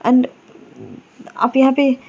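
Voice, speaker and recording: A woman's voice: high-pitched, level tone, warm tone, lo-fi sound, pitched above the performer's own voice. A woman voicing Myra, a young wife who fires off worried questions in a storybook tale. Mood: pleading. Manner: rapid anxious questions. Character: young woman Myra, eager, then frantic with worry.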